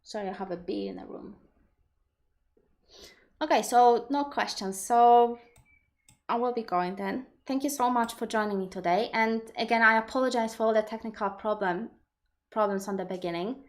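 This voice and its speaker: A woman's voice: a little high, moderate pace. Woman, Polish accent, non-native English; livestream host, reflective and explanatory, computer mic. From Poland. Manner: thoughtful, explanatory, reads viewer questions aloud. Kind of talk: live Q&A talk.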